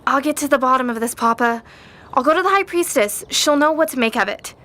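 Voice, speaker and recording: A woman's voice: high-pitched, a little fast, pushed and bright. A woman playing an earnest, headstrong young sorceress in a fantasy audio drama. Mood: determined.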